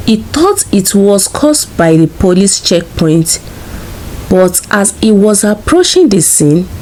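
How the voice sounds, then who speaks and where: A woman with a Nigerian accent narrating a scripted folktale in a measured, formal storybook manner. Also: mid-range voice, darkish tone, a little hiss or hum, rough recording.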